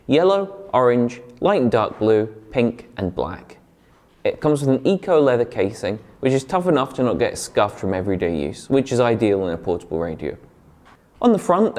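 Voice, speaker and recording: A young British man presenting a scripted product review in a clear, explanatory way. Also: lowish voice, a little mellow. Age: young adult. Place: indoor studio room, seated at a desk.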